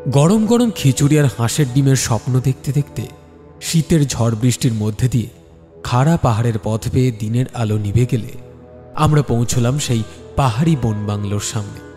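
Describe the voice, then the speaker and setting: A man's voice: medium pitch, moderate pace, dark tone. A man narrating a scripted story in the first person, in a dramatic storytelling style. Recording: long studio reverb, music behind. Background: background music.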